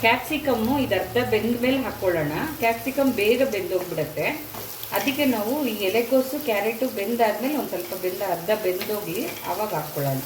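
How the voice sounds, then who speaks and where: A woman talks viewers through each step of a recipe in a casual, homely way. Her voice sits at a middle pitch. It echoes around the kitchen, and the sizzle of frying comes through clearly beneath it. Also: animated, quick pace, noisy background. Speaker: cooking channel host. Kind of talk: casual cooking demonstration. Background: frying and sizzling from the pan.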